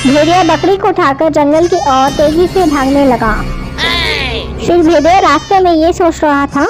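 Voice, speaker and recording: A woman narrating a children's moral story in a plain, steady storytelling manner. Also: high voice, moderate pace. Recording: background music.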